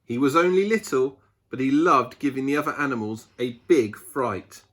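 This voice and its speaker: A man reading a picture book aloud to children, expressively, with dramatic pauses and emphasis. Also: medium pitch, expressive, a bit bright. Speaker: children's storyteller.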